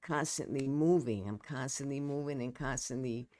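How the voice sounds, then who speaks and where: A woman's voice: rumbling low, moderate pace, warm tone, no room sound. A middle-aged woman with a New York accent, a guest poet and author chatting casually, repeating words and trailing off. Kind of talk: casual conversation.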